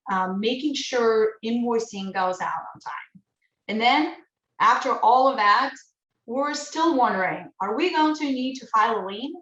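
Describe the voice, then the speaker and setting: A woman from Lithuania with a Lithuanian accent, presenting a business webinar in earnest, halting English with self-corrections. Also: medium pitch, fairly bright tone, roomy sound, lo-fi sound.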